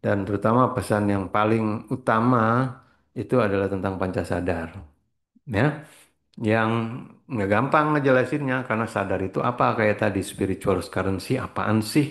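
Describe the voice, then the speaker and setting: A middle-aged man gives a casual motivational talk as a spiritual trainer. He rambles, mixes in English words and keeps clearing his throat. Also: on the low side, brisk.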